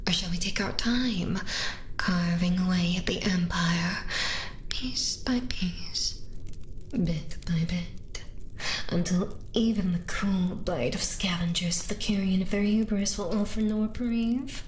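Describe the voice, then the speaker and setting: A woman voicing a doting, bloodthirsty villain wife in a theatrical, breathy ASMR roleplay, often whispering and savoring florid words close to the microphone. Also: lowish voice, takes it slowly, slight background noise. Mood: menacing, intimate. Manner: florid, theatrical, adoring, savoring each word. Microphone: close binaural microphone.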